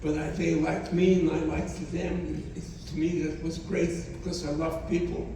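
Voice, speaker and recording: A man's voice: fairly high pitch, flat delivery, dark tone, far from the mic, a little hiss or hum. Elderly man speaking in an echoey hall. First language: not English. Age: 90s. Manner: halting, repeats phrases, speaks with feeling. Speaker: Holocaust survivor speaker. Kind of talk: testimony to students. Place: college hall.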